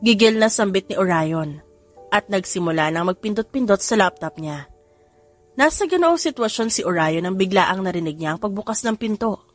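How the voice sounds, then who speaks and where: A woman's voice: mid-range voice, lively intonation, normal speed. A woman with a Filipino accent reading a scripted romance story as an audiobook narrator. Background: music.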